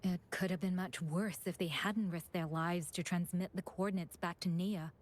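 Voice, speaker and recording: An actress playing a composed, courteous chief administrator in a video game. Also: female voice, lowish voice, fairly even intonation, moderate pace, darkish tone, lightly acted. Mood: composed, analytical.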